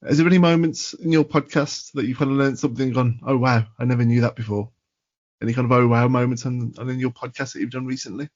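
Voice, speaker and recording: A man's voice: mid-range voice, steady tone, quick pace, a little mellow, muffled recording. A man hosting a podcast, chatting casually with his guest and laughing along. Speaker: podcast host. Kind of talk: casual interview conversation.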